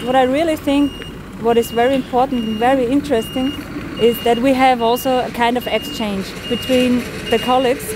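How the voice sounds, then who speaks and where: A woman's voice: a little high, fairly even intonation, brisk. A woman student giving a testimonial to camera outdoors. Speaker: master's student. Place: outdoors in front of a university building. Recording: clear outdoor traffic noise.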